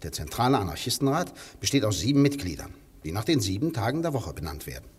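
An actor in a radio play playing a proud, conspiratorial anarchist poet with a rough, husky voice and a declamatory, intense manner. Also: male voice, lowish voice, big pitch swings, quick pace. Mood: solemn, boastful. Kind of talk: German radio play acting.

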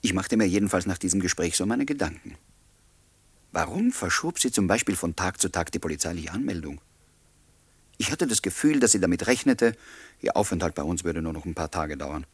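A wistful, smitten bachelor of radio drama. His voice sits deep and has a husky grain. He speaks with discreet longing. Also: lively intonation, a little fast, no room sound, full character voice. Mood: wistful narrating. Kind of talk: German radio play dialogue and narration.

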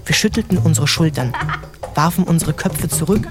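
An actor playing a grown son recalling his wild boyhood, narrating a literary radio play in measured, incantatory short phrases. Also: fairly high pitch, lively intonation, natural voice. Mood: vivid. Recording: music behind the voice.

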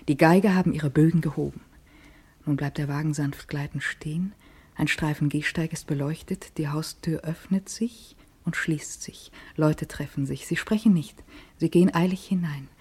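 A low, dark and breathy young society woman in a radio drama. She sounds poised, sensual and vain, delivering her monologue with intimate, deliberate care. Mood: sensual anticipation. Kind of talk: literary radio-play monologue.

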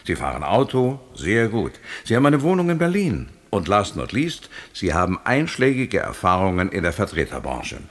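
Husky, deep, patronising company boss. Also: male voice, wildly swooping pitch, normal speed, hardly any pauses, exaggerated voice. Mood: jovial, patronising. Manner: condescending, businesslike, smooth-talking. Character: jovial, patronising male West German company director. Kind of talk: German audio drama acting.

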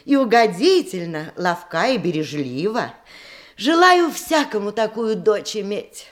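An actress playing a genteel, scheming widowed aunt in a Russian radio comedy in verse, with measured theatrical delivery. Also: female voice, mid-range voice, very animated. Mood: confiding, approving.

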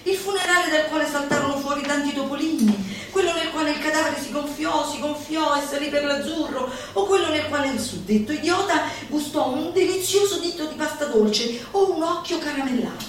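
A woman's voice: sits high, a little fast, few pauses, natural voice. Woman performing a pompous, zealous lecturer, heavy echo. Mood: gleeful relish. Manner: emphatic, pompous, mock-solemn. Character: zealous female lecturer preaching gleeful laughter at misfortune. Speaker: stage performer. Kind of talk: theatrical declamation of a comic manifesto. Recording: roomy echoing live venue, distant mic, faint noise.

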